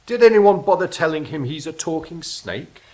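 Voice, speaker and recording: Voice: mid-range voice, animated, normal speed, noisy background, natural voice. A performer playing a cynical, gloomy angel in an audio drama, dry and sardonic. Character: cynical, dry-witted angel Legna, gloomy about humankind.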